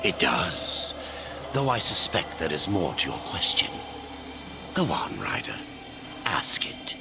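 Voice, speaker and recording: A cryptic old sage from a fantasy game, deep-voiced and solemn. He draws his words out slowly with wide swells of pitch, over clear cinematic music. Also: sing-song, full character voice. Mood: knowing, grave. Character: ancient, knowing, cryptic male guide, grandfatherly elder. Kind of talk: dramatic game cutscene acting.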